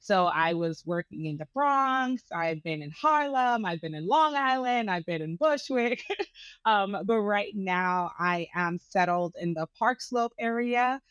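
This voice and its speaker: A woman's voice: medium pitch, unhurried, clean tone, dry recording, dull audio. A woman from Brooklyn, a dentist in her thirties, talking energetically in a casual interview with lots of fillers. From Brooklyn, New York. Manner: energetic and chatty, with frequent fillers. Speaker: dentist guest.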